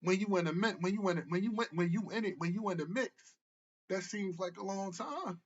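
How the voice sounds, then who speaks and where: A man in his early forties speaks in a fairly high, husky voice, giving a loose motivational talk. He circles back on his points and keeps checking in with the listener. The recording sounds dull and lo-fi. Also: brisk. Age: 40s. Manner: casual, repetitive, tag questions. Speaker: therapist. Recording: muffled lo-fi recording.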